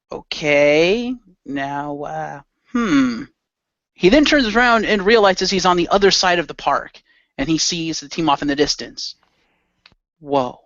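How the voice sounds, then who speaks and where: A man's voice: a little high, a bit bright, no room sound, highs cut off. A man narrating his own character's actions and speaking his lines casually in an improvised radio play.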